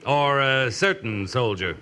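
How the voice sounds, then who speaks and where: Cavalry captain, stern and wry, forceful tone, formal delivery, faintly noisy vintage radio recording. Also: male voice, mid-range voice, slow pace. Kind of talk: 1950s radio drama acting.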